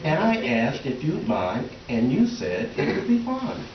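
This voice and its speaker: A man's voice: mid-range voice, wildly swooping pitch. A man playing a mild, defensive middle-aged husband in a readers theater comedy, matter-of-fact and a little awkward. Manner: matter-of-fact, defensive, halting when flustered. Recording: roomy, distant, noisy, dull stage recording.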